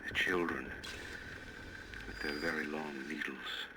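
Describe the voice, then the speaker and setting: A brooding, disdainful man of power with an extremely deep voice. He speaks slowly and grandly, in florid oratory, for a game audio diary. The recording has clear old-radio noise. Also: steady tone. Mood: grave indignation. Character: pompous, brooding male magnate, grave and disdainful. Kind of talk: dramatic audio diary monologue in a video game.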